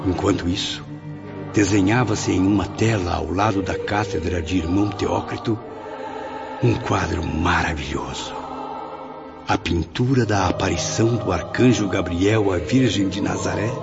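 A man's voice: sits low, measured pace, vocal fry. An actor playing a sorrowful spirit who narrates his afterlife memories in a wistful, emotional, literary way for a radio serial. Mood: solemn, wondering. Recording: dull lo-fi radio drama, music behind.

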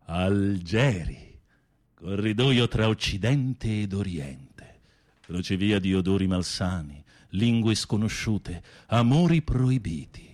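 A campy, low-voiced theatrical inmate. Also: male voice, lively intonation, takes it slowly, a little mellow. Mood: melodramatic storytelling. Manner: florid, campy, teasing storytelling. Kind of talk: radio play acting.

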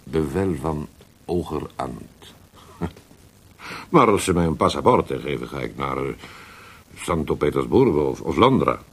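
Scheming italian poet in a radio play, really low husky voice, thick with theatrics, italian accent. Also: male voice, lively intonation, heavily acted. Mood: rueful, wry. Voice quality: gravelly, husky. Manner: theatrical, halting, peppering speech with Italian words. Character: worldly, scheming Italian poet, fallen from court favour. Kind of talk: radio drama acting.

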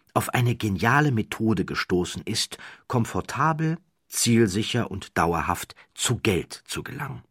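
Deep, husky male audiobook narrator. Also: no room sound, studio quality. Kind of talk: scripted literary audiobook narration of a true-crime story.

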